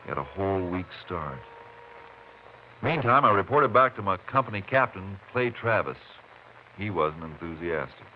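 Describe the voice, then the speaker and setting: Deep-voiced Texas Ranger, old radio. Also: male voice, expressive, normal speed. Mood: measured, determined. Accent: American. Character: steady, dogged Texas Ranger investigating a murder. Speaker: lead actor and narrator. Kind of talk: 1950s radio western acting and narration. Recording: muffled, noisy 1950s radio recording.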